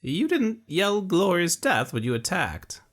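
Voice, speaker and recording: An earnest young scholar pleads in a raised, strained voice, as a man puts him on, sitting well above the reader's own low register. He sounds young and close to breaking. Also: sing-song, measured pace, a bit bright, heavily acted. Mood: pleading.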